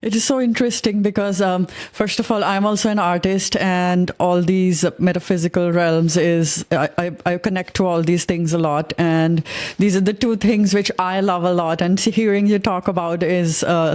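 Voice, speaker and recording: A woman's voice: fairly low pitch, fairly even intonation, normal speed, darkish tone. A woman hosting a podcast, talking in an earnest, reflective way with her guest. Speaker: podcast host. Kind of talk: casual interview talk.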